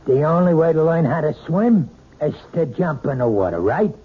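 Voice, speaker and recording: An American man playing a gruff, impatient, domineering husband in 1970s radio drama style, curt and sarcastic. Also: a little high, very animated, moderate pace. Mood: gruff, irritated. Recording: dull, noisy old radio broadcast.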